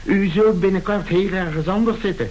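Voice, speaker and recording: A man's voice: high voice, fairly quick, a little mellow, close to an everyday voice, rough recording. An actor in a radio play playing a domineering middle-aged businessman and father who barks curt orders. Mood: blustering, exasperated. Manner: imperious, barking curt orders. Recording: hissy old radio play recording.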